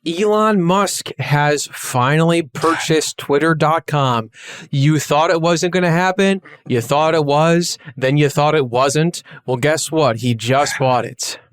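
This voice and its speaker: Male co-host, slightly high voice; profane, jokey ranter, dry sound. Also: slow, clear, resonant tone, no room sound. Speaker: podcast co-host. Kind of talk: casual chat.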